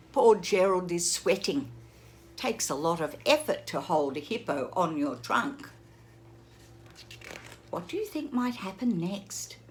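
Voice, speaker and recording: A woman teacher reading a picture book aloud to children, gently pausing to ask the listener questions. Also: lowish voice, big pitch swings, a little hiss or hum. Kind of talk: children's read-aloud lesson.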